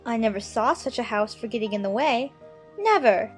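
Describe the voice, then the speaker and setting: A woman acts out a curious, well-mannered young girl from a children's storybook. Her voice sits high and she muses expressively to herself. Faint music plays behind her. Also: expressive, normal speed, slightly rough. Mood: stubborn, frustrated. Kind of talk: dramatized children's audiobook acting.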